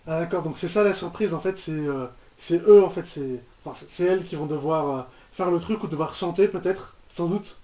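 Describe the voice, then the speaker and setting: A man gives off-the-cuff reaction commentary in a soft, mellow voice. He hesitates and often repeats himself. The sound is roomy and a little dull, like a lo-fi home microphone. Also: on the high side, fairly quick, few pauses. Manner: hesitant, repeats words and trails off. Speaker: reaction video host. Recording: echoey room, muffled lo-fi microphone.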